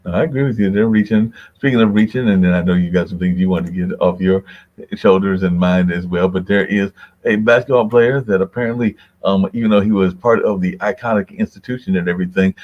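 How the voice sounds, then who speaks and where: A man's voice: deep voice, highs cut off. An older man hosting a radio talk show, who asks long, rambling questions and keeps saying "and everything". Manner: rambling, conversational, repeats "and everything".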